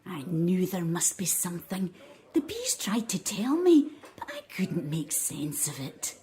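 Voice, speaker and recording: A woman acting in an audio drama as a knowing older island aunt, measured and cryptic. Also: fairly low pitch, wildly swooping pitch, warm tone. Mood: quiet, knowing.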